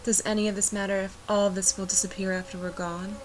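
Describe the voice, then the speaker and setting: A woman reciting a poetic spoken-word narration for a video art piece, measured and reflective. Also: medium pitch, little pitch movement, poor audio. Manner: measured, reflective, unhurried delivery. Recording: voice-over with clear background noise.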